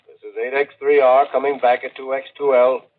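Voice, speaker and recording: A man's voice: mid-range voice, little pitch movement, a little fast. An actor playing a businesslike male radio operator answering a call through a two-way radio filter in a 1930s radio drama. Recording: tinny, noisy, distant 1930s radio transmission.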